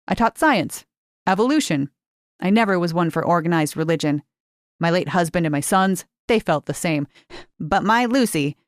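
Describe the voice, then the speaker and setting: A woman's voice: lowish voice, no echo, clean studio sound, lightly acted. A woman voicing a stern, curt elderly retired teacher who talks in clipped, blunt sentences.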